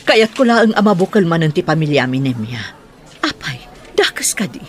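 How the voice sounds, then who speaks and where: An actress with an Ilocano accent playing an assertive, possessive estranged wife, husky and insistent, in a radio drama. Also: female voice, lowish voice, big pitch swings, moderate pace. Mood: defiant.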